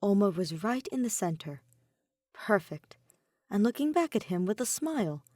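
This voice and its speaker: A woman's voice: mid-range voice, big pitch swings, moderate pace, a little mellow, no room sound, clean studio sound. A woman narrating a piece of fan fiction as a scripted audio reading. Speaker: podfic narrator.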